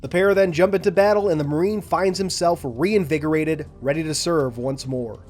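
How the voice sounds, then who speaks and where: A man hosting a lore video, narrating and analyzing in a measured, explanatory way. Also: fairly high pitch, a little mellow.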